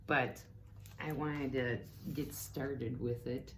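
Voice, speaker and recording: A woman reading a book aloud to children as a teacher, slow and deliberate, with playful asides to her listeners. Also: extremely low pitch, steady tone, a little hiss or hum. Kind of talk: read-aloud book lesson.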